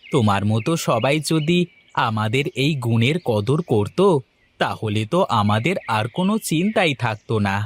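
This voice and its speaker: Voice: medium pitch, animated, moderate pace, slightly rough. A voice actor playing a mournful young village man in a cartoon, grumbling about being poor. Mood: gloomy. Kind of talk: cartoon voice acting.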